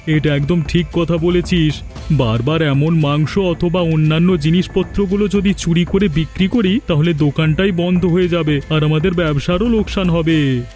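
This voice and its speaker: High-pitched sly village thief, played by a man. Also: steady tone, moderate pace, a little mellow, faint music behind, natural voice. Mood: scheming. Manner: scheming, smug. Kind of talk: cartoon dialogue acting.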